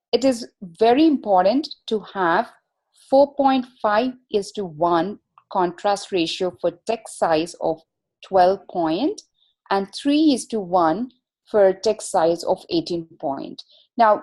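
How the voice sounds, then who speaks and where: A woman with a medium-pitched voice gives an instructional webinar talk. She explains each point in a measured, formal way, as an expert presenter. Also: mellow.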